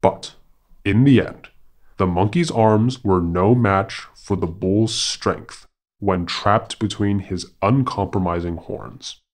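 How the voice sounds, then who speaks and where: A man tells an animal fable in a formal, dramatic storytelling style. His voice sits on the low side, and he takes his time, delivering each phrase at a very measured pace. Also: a little mellow. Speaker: podcast narrator.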